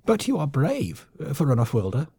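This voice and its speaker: A man's voice: huge pitch swings, creaky, warm tone, husky, no room sound, clean studio sound, exaggerated voice. A man voicing a furious, vicious male lemming colonel who shrieks and hisses his threats. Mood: scheming. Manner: shrill, hissing, drawn-out threats.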